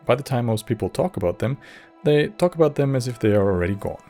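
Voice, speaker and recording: A man's voice: mid-range voice, animated, fast talker, mellow, soft background music. A man narrating as host of a video essay, scripted with casual asides.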